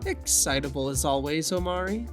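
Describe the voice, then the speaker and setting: A woman's voice: sits low, animated, normal speed, soft background music. A woman playing a weary, sardonic young male witch in an audio fantasy drama, dry and grumbling.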